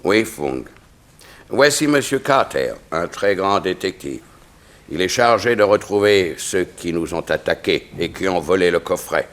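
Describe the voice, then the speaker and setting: An actor playing a grave, dignified diplomat with a formal, measured delivery in a 1960s French radio serial. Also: male voice, sits low, animated. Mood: concerned.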